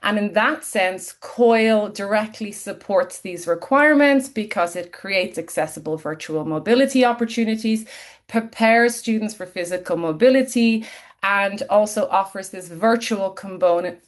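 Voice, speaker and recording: A woman's voice: medium pitch, moderate pace. Irish lady lecturer on a video call, explaining stuff, lots of ums. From Ireland. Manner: explanatory, many "um" fillers. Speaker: keynote speaker, senior lecturer and researcher. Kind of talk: webinar presentation.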